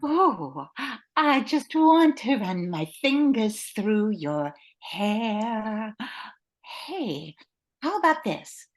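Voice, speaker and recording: A woman's voice: mid-range voice, big pitch swings, very slow. A woman playing an obliging, eager-to-please office employee, speaking expressively in a radio comedy. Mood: gushing. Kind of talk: old-time radio comedy acting.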